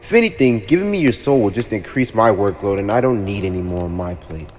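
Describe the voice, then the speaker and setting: A man's voice: on the low side, animated, darkish tone, close to an everyday voice. A man voicing a haughty, teasing teenage demon lord with a playfully cruel streak, in an ASMR roleplay addressed to the listener. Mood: bored, dismissive. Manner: haughty, teasing, theatrical, with drawn-out pauses. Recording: long reverb, audible background noise.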